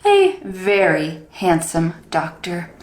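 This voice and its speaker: A woman's voice: fairly low pitch, very animated, slow pace, a little mellow, roomy sound. A woman playing a stern, smug female salon boss. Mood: icy, smug. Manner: curt, dismissive. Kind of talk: comedy skit acting.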